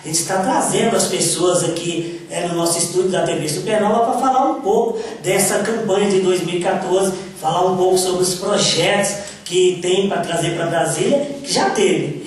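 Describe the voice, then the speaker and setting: Male TV host, high voice, chatty introductions, distant mic in a very echoey studio. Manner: friendly, introduces the guest with casual fillers. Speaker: TV show host. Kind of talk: TV studio interview.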